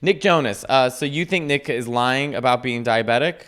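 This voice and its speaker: A man's voice: medium pitch, moderate pace, fairly bright tone. A man hosting a comedy podcast, chatting casually with playful, campy, jokey banter.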